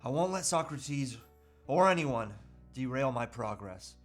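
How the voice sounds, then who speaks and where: A man's voice: medium pitch, animated, measured pace, faint music behind. A man playing a troubled, self-pitying husband and father who earnestly resists temptation, with hesitant, pleading delivery in a script read. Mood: sincere, grateful. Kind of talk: live table read of a movie script.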